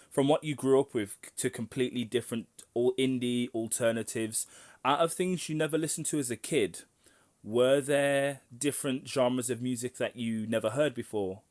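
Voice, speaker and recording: A man's voice: mid-range voice, steady tone, normal speed, a little mellow. A man hosting a casual interview, speaking enthusiastically with friendly slang. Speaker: interview host.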